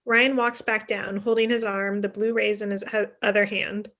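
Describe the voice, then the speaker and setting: A woman's voice: medium pitch, flat delivery, normal speed, fairly bright tone. A young woman reading scripted stage directions as narrator over a video call. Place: indoor room on a video call.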